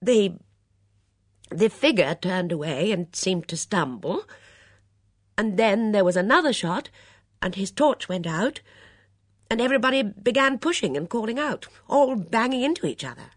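Audiobook role: composed, no-nonsense older lady read by a woman, animated inflection, dry intimate recording. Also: medium pitch, unhurried, pauses often, no echo, voice pushed up. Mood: shaken, precise. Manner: firm, matter-of-fact, soothing. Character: composed, sensible, brisk older lady of the house. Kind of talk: audiobook character dialogue.

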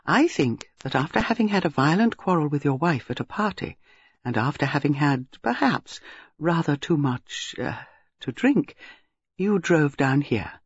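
Reader voicing a prim, softly husky old spinster. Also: mid-range voice, sing-song, no echo, lo-fi sound. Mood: gentle, probing. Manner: measured, grave, quietly vexed. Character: prim, shrewd, gentle elderly spinster.

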